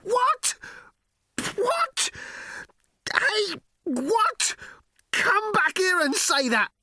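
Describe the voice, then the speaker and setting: Man acting a flustered, high-pitched stammering everyman. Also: wildly swooping pitch, extremely slow, sharp tone, no room sound, studio quality, heavily acted. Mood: frantic, screaming. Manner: stammering, repetitive. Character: helpless, flustered, desperate man left stranded.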